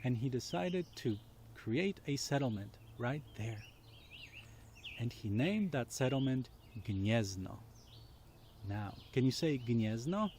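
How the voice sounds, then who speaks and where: Slow-paced man telling children's stories. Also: mid-range voice, expressive, a little mellow, slight background noise. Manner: deliberate, explains and puts questions to listeners. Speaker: children's storyteller. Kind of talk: storytelling for children.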